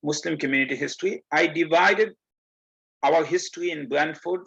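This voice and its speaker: Gravelly-voiced man from Bangladesh, earnest lecturer. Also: on the high side, a bit bright. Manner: earnest, explaining step by step with many pauses. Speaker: guest speaker. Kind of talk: community presentation. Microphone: video call. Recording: muffled video call audio.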